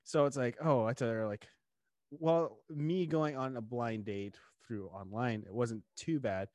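A man's voice: mid-range voice, measured pace, a little mellow, no echo. Young male podcast host, loose filler-heavy chat, dry mic. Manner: rambling, filler-heavy, laughs often. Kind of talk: casual chat.

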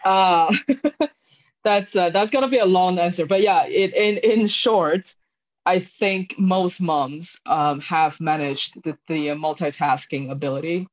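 A woman's voice: on the low side, slow pace. Woman in her 30s from china, to the point, lots of ums, dull lo-fi audio. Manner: concise, hesitant with fillers. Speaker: interview guest, tech leader. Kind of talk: casual interview answers.

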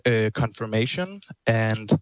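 A Danish man speaks as a caller over a muffled line. He pauses often and restarts his sentences. His voice sits at an ordinary pitch and sounds dry and close. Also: expressive, no room sound. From Denmark. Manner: hesitant, many ums and restarts. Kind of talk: call-in conversation.

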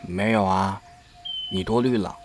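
Man acting a slow-spoken, sensitive young fox spirit. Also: sits low, steady tone, slight background noise. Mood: subdued, downcast. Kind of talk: ancient-China audio drama acting.

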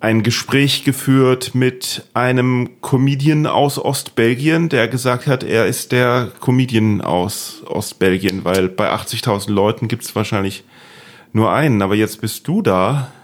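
Man, podcast host, playful, jokey repeats, casual chat, mid pitch. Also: unhurried. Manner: playful, repeats words for comic effect.

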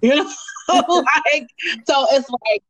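A woman's voice: sits high, slow, dry recording, rough recording. A woman hosting a faith podcast, casual and encouraging, affirming her guest often and laughing easily. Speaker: podcast host.

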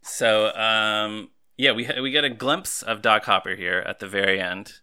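A man's voice: lowish voice, fairly even intonation, a little slow, sharp tone, clean tone. A man in his mid-thirties hosting a casual movie podcast. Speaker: podcast host.

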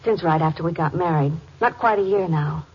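A dull old radio recording with light noise, featuring a woman acting as a young farmer's wife. She is earnest and soft-hearted. She sounds sweet and worried, in period radio drama style. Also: fairly low pitch, fairly quick, natural voice. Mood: troubled, earnest.

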